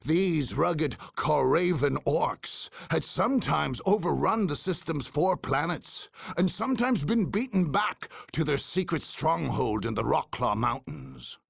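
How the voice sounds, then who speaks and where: An actor narrating video game cutscenes as a grave, theatrical chronicler of far-future war, in a husky, gravelly voice with deliberate delivery. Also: male voice, a little high, no echo, studio quality, exaggerated voice.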